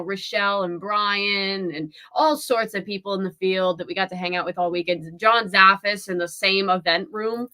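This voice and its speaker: A young woman co-hosting a casual live stream, her voice raspy and hoarse from a busy weekend, bubbly and quick to giggle. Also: mid-range voice, sharp tone. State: hoarse, voice nearly gone. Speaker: co-host.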